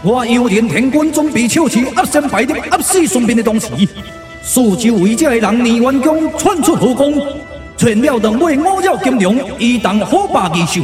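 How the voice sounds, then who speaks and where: A man with a Taiwanese accent voicing a boastful, scheming immortal warlord in a theatrical puppet radio drama, declaiming in drawn-out phrases. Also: very high voice, animated, darkish tone. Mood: alarmed, then resigned. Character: menacing immortal warlord villain, boastful, scheming, easily thwarted. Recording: echoey reverb with music behind.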